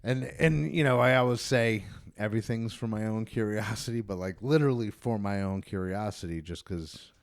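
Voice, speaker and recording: A man's voice: fairly low pitch, normal speed, few pauses, dry recording, studio quality. A man hosting a casual podcast chat, rambling along in a jokey, profane way. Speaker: podcast host and band musician.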